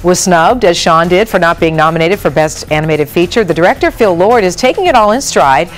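A woman's voice: fairly low pitch, animated, brisk, soft background music, low-quality recording. A woman hosting a live TV news show, interviewing guests in a studio. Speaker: TV news host.

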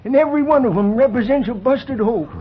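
A folksy old prospector from a radio western, excitable and trusting. His voice is remarkably high and husky, with a frontier drawl, on a dull vintage recording. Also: fairly quick. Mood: folksy, eager. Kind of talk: 1940s radio western acting.

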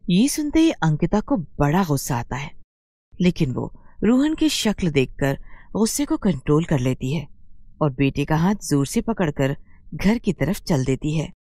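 A woman reading scripted narration for an animated moral story. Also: low-pitched, a little slow, no room sound, clean studio sound.

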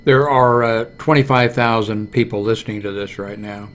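An American man in his seventies giving a casual, unscripted computer walkthrough, haltingly thinking aloud. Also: lowish voice, steady tone, a bit bright. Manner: halting, thinking aloud while clicking through pages. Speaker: video blogger giving a how-to demonstration. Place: small room at home, indoors. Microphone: large desktop microphone close to the mouth.

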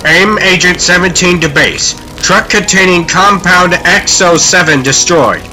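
A man's voice: high-pitched, unhurried, bright, forceful tone, soft background music, voice pushed up, exaggerated voice. A man voicing a businesslike field agent in a comic dub, giving terse official reports. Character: clipped, businesslike male villain-organization field agent.

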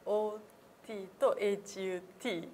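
A woman's voice: mid-range voice, fairly even intonation, normal speed, a little mellow, a little hiss or hum. A woman in her thirties taking a casual pronunciation lesson, carefully and tentatively repeating sounds in a meeting room. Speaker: radio personality trying a pronunciation lesson as learner. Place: small meeting room, indoors.

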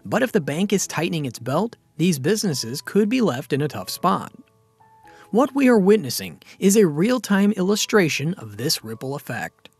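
A man narrates a scripted finance explainer in a steady, explanatory manner. His voice sits a little high and moves through a lively range of pitch. Soft music plays quietly underneath. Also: normal speed, husky.

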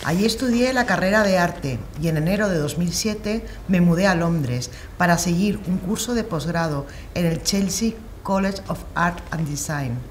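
A middle-aged woman reading an essay aloud in a steady, plain manner in a large hall. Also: lowish voice, normal speed, few pauses, a little hiss or hum. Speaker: reader in a shared reading group. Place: large hall, seated on the floor.

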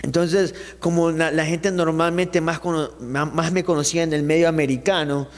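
Young guy from ecuador, singer being interviewed, bit high voice, kinda reverby studio. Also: moderate pace. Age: young adult. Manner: animated, gestures with his hands, many restarts. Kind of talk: casual interview chat. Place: small studio set, indoors. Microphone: handheld mic held by the interviewer.

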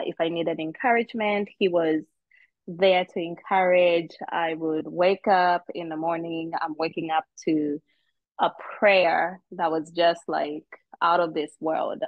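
A middle-aged woman from Zambia tells her story very slowly and earnestly, pausing on ums. Her voice sits fairly low and sounds dull and lo-fi, dry, recorded on a phone outdoors. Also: dry recording. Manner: unhurried, earnest, with many ums. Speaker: guest. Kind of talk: personal storytelling. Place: outdoors on a footbridge.

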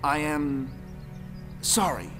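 A man playing a gentle, sorrowful old traveler in a folktale audioplay. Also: a little high, animated, drawn-out words. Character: kindly old weary male traveler, secretly young. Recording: music under the voice.

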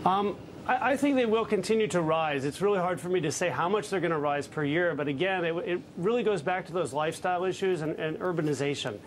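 Guest on a news link: man in his thirties, measured, lots of ums, somewhat high-pitched, faint hiss. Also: low-quality recording. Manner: measured, hesitant with frequent fillers. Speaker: fund manager guest. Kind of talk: live news interview. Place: office with trading desks behind.

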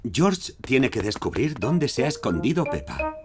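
Lively male cartoon narrator from a Castilian dub. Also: mid-range voice, normal speed. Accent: Castilian Spanish. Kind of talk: scripted children's cartoon narration. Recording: music behind the voice.

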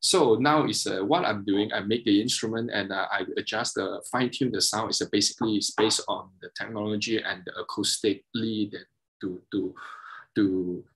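A man in his thirties whose first languages are Mandarin and Cantonese, speaking on a video call as a guest, halting and restarting often as he explains technical details. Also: on the low side, fairly even intonation, a bit bright, slightly rough, rough recording. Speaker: guest, double bassist and violin maker. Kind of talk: casual interview over video call.

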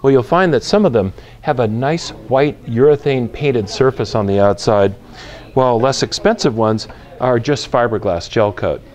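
A man's voice: medium pitch, moderate pace, a little mellow. A man in his fifties presenting a product guide, explaining things methodically in an instructional style into a lapel mic. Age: 50s. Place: large retail store, indoors.

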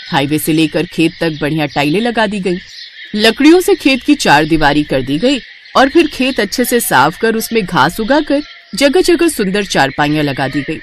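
A woman narrating an animated moral story in a scripted storytelling style. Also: medium pitch, a little hiss or hum.

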